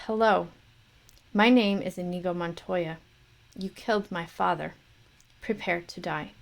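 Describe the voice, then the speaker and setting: A woman's voice: on the low side, unhurried, vocal fry, poor audio. A woman voicing a wounded, vengeful young male swordsman, speaking in a grim, solemn way. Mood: hushed resolve. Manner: grim, repeated solemn vow.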